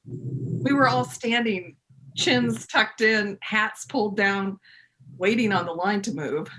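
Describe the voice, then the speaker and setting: A woman playing a folksy storyteller in her fifties in a readers theater monologue, conversational and confiding, with dramatic pauses. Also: mid-range voice, fairly bright tone, a little hiss or hum, low-quality recording. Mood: nostalgic, casual.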